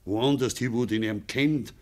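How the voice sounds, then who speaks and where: An actor as a gruff old Bavarian farmer. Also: male voice, medium pitch, normal speed, lightly acted. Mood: grumbling. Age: older. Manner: gruff, grumbling, scornful. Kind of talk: dialect radio drama acting.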